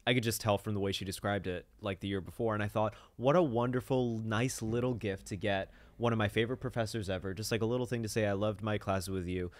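A man's voice: on the low side, fairly even intonation, fast talker, hardly any pauses, no echo. A young man co-hosting a podcast, chatting casually and telling stories in a playful, teasing way on a couch. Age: young adult. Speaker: podcast co-host. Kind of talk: casual chat. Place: living room couch. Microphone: studio mic on boom arm.

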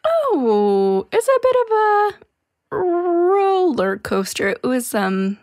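A woman's voice: sits high, very animated, slow, darkish tone, dry recording, studio quality. A woman co-hosting a podcast, joking and self-mocking in casual chat. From Pacific Northwest. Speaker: podcast co-host.

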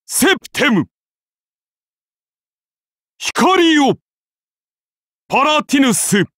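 Casting: divine Roman king and lancer, anime game. Grand, solemn declamation, extremely unhurried, lively intonation. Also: extremely high pitch, gravelly, no echo, studio quality, full character voice. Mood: battle fury. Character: godlike male founder-king of Rome, majestic lancer warrior. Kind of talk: anime game battle voice lines.

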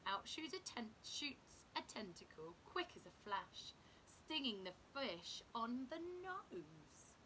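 Animated, slow-paced woman reading a storybook. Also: low-quality recording. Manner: animated, dramatic, deliberate. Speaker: teacher reading a picture book to children. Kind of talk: expressive children's storybook read-aloud. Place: indoor room at home. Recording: clear low background rumble noise.

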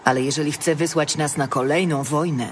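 A woman's voice: deep voice, fairly even intonation, fairly quick, slight background noise, lightly acted. An actress playing a tough, sardonic woman ranger in a fantasy audiobook drama. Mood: serious. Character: tough, sardonic female ranger, hardened warrior.

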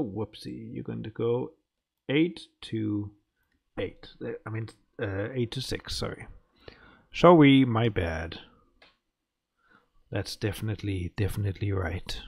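A man's voice: fairly low pitch, creaky, darkish tone. A man giving casual let's play commentary as a gaming host, muttering and thinking aloud.

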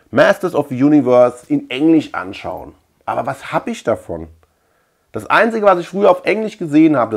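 A middle-aged man from Germany chats casually to camera, thinking out loud in a colloquial, rambling way. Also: medium pitch. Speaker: collector and video channel host. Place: small indoor room lined with shelves.

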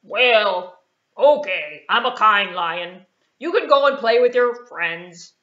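A woman voicing a big, proud male lion who talks loudly and boastfully in a puppet show. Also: mid-range voice, lively intonation, takes it slowly. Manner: loud, boastful, roaring. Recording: echoey room, dull lo-fi sound.

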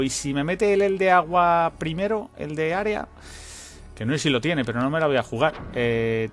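A man's voice: medium pitch, fairly bright tone. A man giving informal let's-play commentary, thinking aloud as he plays and swearing now and then. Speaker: gaming channel host.

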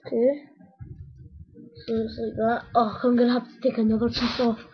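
A woman with a croaky voice, rambling casually to her viewers as she builds a toy step by step. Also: a little high, flat delivery, normal speed. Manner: rambling, talks to viewers while working with hands. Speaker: video maker building a toy. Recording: dull lo-fi home recording.

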